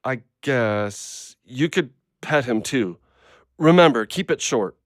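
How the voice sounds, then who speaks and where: Man acting a blunt, animated, guarded dog owner. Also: mid-range voice, takes it slowly, no room sound, studio quality. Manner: blunt, literal, abrupt. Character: blunt, guarded, literal-minded dog owner named Wally. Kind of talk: audio drama acting.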